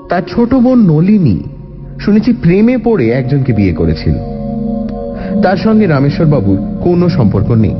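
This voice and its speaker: Male narrator, highish voice, hugely varied intonation, expressive storytelling, dramatic and deliberate. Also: highs cut off. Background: faint music.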